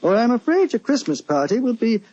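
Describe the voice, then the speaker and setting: High-voiced, anxious British lord. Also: male voice, very animated, a little fast, a little mellow, heavily acted. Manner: polite, earnest, slightly hesitant. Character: well-off, courteous, anxious English lord seeking help. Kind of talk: old-time radio drama acting. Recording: muffled, lo-fi old radio recording.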